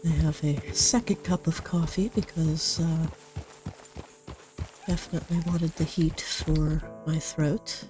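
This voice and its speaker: A congested woman with a cold narrates her gameplay slowly and easygoingly, with many pauses. Her voice is slightly low, and game music plays behind her. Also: steady tone. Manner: easygoing, hesitant. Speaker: let's play host. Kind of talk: gameplay commentary.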